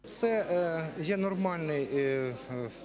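Halting middle-aged man amid crowd noise. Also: on the high side, animated. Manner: halting, hesitant phrasing. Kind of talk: on-the-spot news interview. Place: exhibition hall. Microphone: handheld reporter microphone. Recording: busy crowd behind.

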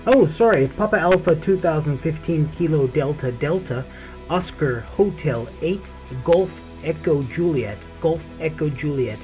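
Effusive ham radio caller, muffled. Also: male voice, fairly high pitch, lively intonation, a little slow, a little mellow. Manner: friendly, effusive, spells with phonetic alphabet. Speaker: ham radio operator calling in. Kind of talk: ham radio contact over the air. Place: home radio station. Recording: muffled lo-fi radio with faint music.

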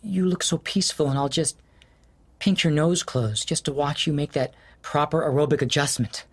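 An actor playing an anxious husband in an audio drama, stammering and swinging between shouts and whispers. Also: male voice, a little high, normal speed. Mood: tender unsettled. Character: anxious husband losing his love, middle-aged man. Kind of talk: studio audio drama acting.

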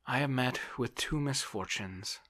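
Storybook landowner voiced by a man: indolent, dithering, plaintive, raised pitch with a bright, husky edge. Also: measured pace. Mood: fretful, alarmed. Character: fretful, indolent, helpless Russian landowner man. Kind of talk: audiobook character voice.

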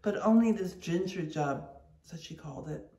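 A reader voicing a timid ship's steward who protests in a high, pleading voice. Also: normal speed, mellow, roomy sound, distant mic, lightly acted.

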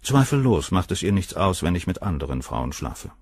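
A cold, cynical famous surgeon. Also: male voice, sits low, sing-song, normal speed, slightly rough, no echo, studio quality, exaggerated voice. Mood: cool. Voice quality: cool, cynical, dismissive. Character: cold arrogant famous male surgeon, middle-aged. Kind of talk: radio play acting.

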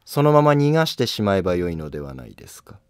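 A man's voice: low voice, sing-song, fast, a little mellow, smooth, no room sound, studio quality. A man playing a wary, imperious older empress dowager who speaks in curt, commanding, archaic phrases.

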